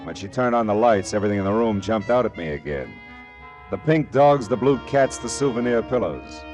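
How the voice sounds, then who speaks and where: Man acting a low-voiced hard-boiled American detective. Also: animated, brisk, a little mellow, soft background music, close to an everyday voice. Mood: brooding, wry. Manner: sardonic, clipped, colloquial. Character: wry, hard-boiled male private detective. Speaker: lead actor and narrator. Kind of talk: 1940s radio noir acting and narration.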